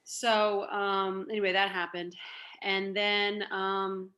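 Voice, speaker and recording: Chatty woman in her forties, bright. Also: medium pitch, level tone, slow. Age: 40s. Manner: chatty, rambling, with many fillers. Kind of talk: casual video-call chat.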